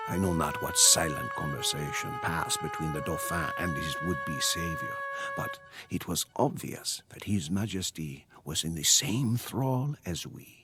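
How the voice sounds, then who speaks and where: A deep, gravelly, weary soldier. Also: male voice, expressive, a little slow, full character voice. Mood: hushed, awed. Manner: solemn, theatrical. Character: weary, devoted male French soldier recounting a war campaign. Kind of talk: dramatic scripted storytelling narration. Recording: loud music under the voice. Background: loud music.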